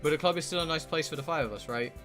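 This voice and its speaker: A man's voice: on the high side, very fast, fairly bright tone, soft background music. A man livestreaming a visual novel, chatting casually and reading dialogue aloud in a rambling way with casual swearing. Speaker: streamer playing a visual novel.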